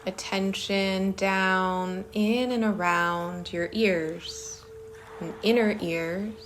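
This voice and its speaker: A woman leading a guided body-scan meditation in a soothing manner, speaking in short phrases with long pauses between them. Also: medium pitch, steady tone, slight background noise. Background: singing bowls.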